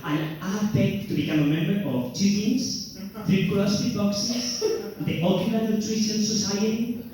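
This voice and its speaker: A man's voice: high-pitched, steady tone, measured pace, crowd noise. A young man from Spain with a Spanish accent, performing a playful, self-mocking stand-up routine on a comedy club stage. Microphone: handheld stage mic. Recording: very echoey room, distant mic, faint noise.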